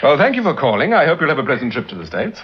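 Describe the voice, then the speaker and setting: Suave British detective in vintage radio drama. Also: male voice, fairly high pitch, very animated, rapid-fire. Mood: wryly amused. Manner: urbane, polite, understated. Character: suave, unruffled gentleman amateur detective. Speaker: lead actor in a radio serial. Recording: muffled vintage radio recording with hiss.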